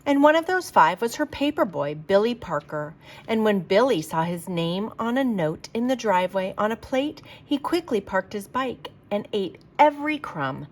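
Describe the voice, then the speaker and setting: A woman reading a children's picture book aloud like a teacher, with expressive, emphatic delivery for young listeners. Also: mid-range voice, normal speed.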